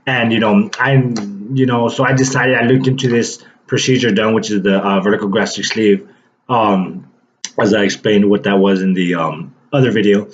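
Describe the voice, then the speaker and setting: A man in his late twenties talks to the camera in a low, casual voice, circling back and restarting his sentences often. The sound is dull and lo-fi, with a faint hiss underneath. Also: steady tone, normal speed. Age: 20s. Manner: rambling, loose, lots of fillers and restarts. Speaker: vlogger. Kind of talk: casual personal story to camera.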